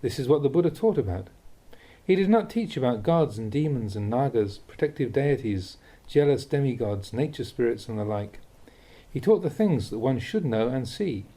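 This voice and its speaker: A man reading a Buddhist teaching aloud as instructive narration, with measured, deliberate phrasing. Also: mid-range voice, animated, dark tone. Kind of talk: read-aloud dharma talk, instructive narration.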